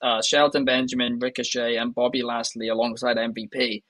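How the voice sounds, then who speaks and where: A man's voice: mid-range voice, little pitch movement, fairly quick, a bit bright, dry recording. A young Welsh man hosting a casual wrestling news podcast, chatty and enthusiastic, turning to the viewers often. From Wales. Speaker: podcast host.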